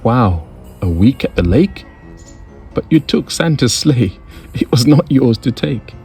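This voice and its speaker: A man's voice: mid-range voice, sing-song, measured pace, a little mellow, faint music behind, pitched above the performer's own voice. A man voicing Mrs. Claus, an astonished, kindly scolding wife in a children's storybook. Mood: gently scolding.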